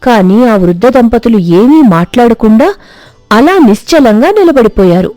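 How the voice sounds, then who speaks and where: Mellow woman narrating a moral story. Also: on the high side, animated, moderate pace, no echo. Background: music.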